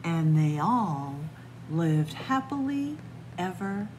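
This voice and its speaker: A woman reading a picture book aloud as a library story time host, expressive and pausing deliberately between phrases. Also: on the low side, background noise. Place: indoors in a library, among bookshelves.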